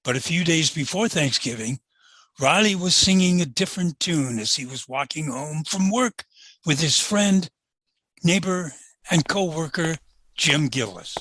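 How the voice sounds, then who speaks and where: An actor playing the wry announcer and narrator of an old-time radio sitcom. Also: male voice, a little high, expressive, measured pace, fairly bright tone, dry recording, low-quality recording. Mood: presentational, wry.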